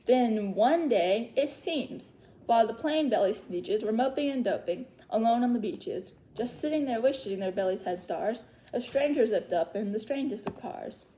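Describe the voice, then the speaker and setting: A woman's voice: on the high side, lively intonation, normal speed, warm tone, a little hiss or hum, rough recording. A woman hosting a children's storytime, reading a storybook aloud with asides to young listeners.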